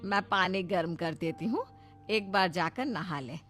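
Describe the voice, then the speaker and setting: A woman's voice: fairly low pitch, unhurried, fairly bright tone. Woman playing a folksy older mother-in-law, music behind. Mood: motherly advising. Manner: motherly, folksy advice-giving. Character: kindly, homely, old-fashioned older mother-in-law in a cartoon.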